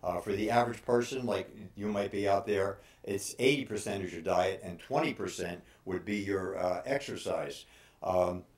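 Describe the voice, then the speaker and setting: An older man in his sixties speaks in a fairly low voice with a halting, hesitant delivery. He gives informal training advice, pausing often as he goes. The room around him adds a clear echo. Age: 60s. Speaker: bodybuilder and personal trainer. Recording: roomy, echoing room sound.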